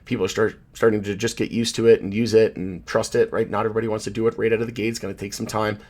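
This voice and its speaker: A man with a somewhat low, hoarse voice hosts a casual commentary on market charts. He rambles easily, leans on fillers and stops to correct himself mid-sentence. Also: level tone, rapid-fire, a little mellow. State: hoarse, losing his voice. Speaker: channel host, market commentator.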